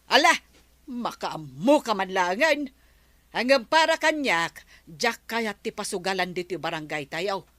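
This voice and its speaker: A woman's voice: medium pitch, animated, unhurried, fairly bright tone, dry recording. A woman playing a stubborn village councilor in a comedy radio drama, arguing her point insistently. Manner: argumentative, insistent.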